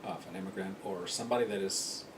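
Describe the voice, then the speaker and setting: A middle-aged man from Spain speaking reflectively in a documentary interview, with a hesitant, halting delivery. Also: fairly even intonation. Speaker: interviewee, university employee. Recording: noisy, rumbling, distant mic.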